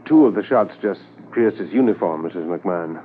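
Low-voiced, sombre police inspector, old radio. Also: male voice, expressive, a little hiss or hum. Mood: grave, subdued. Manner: terse, measured, matter-of-fact. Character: sombre, businesslike male police inspector narrating his case. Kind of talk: 1950s radio drama acting, first-person narration. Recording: muffled 1950s radio transcription, faint music.

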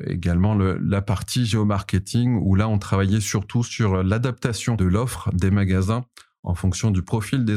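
Hesitant Parisian man, dark low voice. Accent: Parisian French. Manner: hesitant, many fillers and restarts. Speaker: podcast co-host, data specialist, interviewed guest. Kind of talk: conversational interview answer.

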